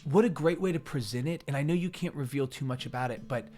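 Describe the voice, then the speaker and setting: A man's voice: mid-range voice, fast talker, warm tone, soft background music. A man hosting a casual chat interview, talking in an enthusiastic, slangy way. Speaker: interview show host.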